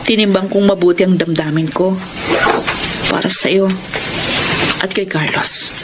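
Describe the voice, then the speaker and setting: A woman's voice: on the low side, steady tone, unhurried, heavy background noise, natural voice. A woman acting a torn, remorseful lover in a sentimental radio drama, speaking earnestly and melodramatically. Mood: resolute, yearning. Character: torn, remorseful woman returning to her true love. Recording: radio drama with loud music bed.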